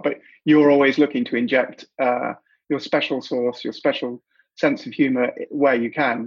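Husky British man, muffled call audio. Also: medium pitch. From United Kingdom. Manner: halting, restarts often, friendly. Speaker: interview host. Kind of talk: casual interview questions.

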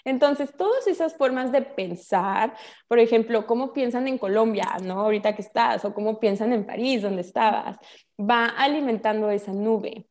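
A woman with a Mexican accent explains ideas in a casual, expressive, conversational way full of colloquial slang. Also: fairly high pitch, moderate pace. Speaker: guest explaining spiritual ideas.

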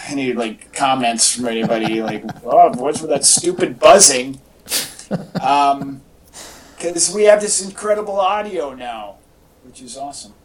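A man hosting a podcast in casual, rambling chat with a wry, joking manner. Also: mid-range voice. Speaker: podcast host. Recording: distant mic, echoey in parts.

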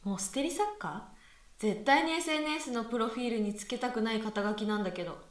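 Woman acting a skeptical student, high echoey voice. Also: animated, a little fast, natural voice. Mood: skeptical, exasperated. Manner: dry, retorting. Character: skeptical, exacting young female university student. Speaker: voice actress in amateur radio drama. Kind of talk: conversational radio drama dialogue. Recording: echoey room, far from mic.

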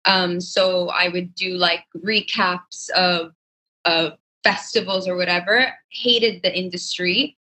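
Bright-voiced young woman chatting. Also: on the low side, steady tone, slow, rough recording. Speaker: podcast guest, fashion designer. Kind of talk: casual conversational interview answers.

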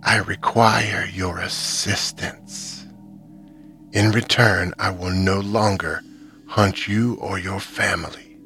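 A monstrous insect creature with a human face, voiced by a man hisses in a deep, buzzy voice. Every word comes slowly and deliberately, low-pitched and cold. Faint music plays behind it. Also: steady tone, vocal fry, pushed and bright, exaggerated voice. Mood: menacing. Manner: slow, measured, menacing. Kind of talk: horror story narration.

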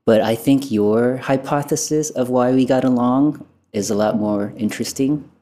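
A woman's voice: rumbling low, normal speed, dark tone. A woman in her thirties hosting a podcast, chatting casually in a friendly interview style. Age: 30s. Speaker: podcast host.

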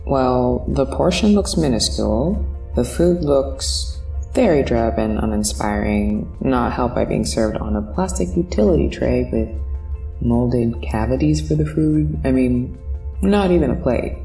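A woman's voice: a bass voice, lively intonation, unhurried, creaky, dark tone, full character voice. A woman playing an underwhelmed but polite space-liner passenger in a sci-fi audio drama, speaking in a hesitant, dry, understated way. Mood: wry resignation. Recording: roomy, distant mic, music behind.

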